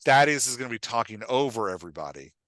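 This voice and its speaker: A man with a bright, forceful voice, recorded dry and close. He coaches conversationally and with emphasis, often stopping to check that his point lands. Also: mid-range voice, sing-song, fast talker, dry recording. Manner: emphatic, repeatedly checks for understanding; acts out short example lines of dialogue. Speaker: podcast host and writing coach.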